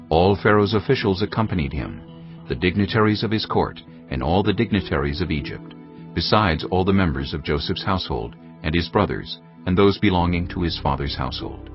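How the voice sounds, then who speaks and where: Male scripture narrator: very low, solemn storytelling with lively intonation. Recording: slightly dull audio with music behind. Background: music.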